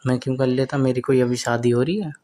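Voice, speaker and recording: A man's voice: medium pitch, fairly even intonation, fast talker, dark tone, a little hiss or hum. Young man teasing on a private call.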